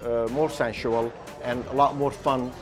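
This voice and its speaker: A man, a restaurant owner speaking reflectively in an interview clip, pausing with fillers. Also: medium pitch, little pitch movement. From Lebanon. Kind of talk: interview soundbite. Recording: music bed under voice.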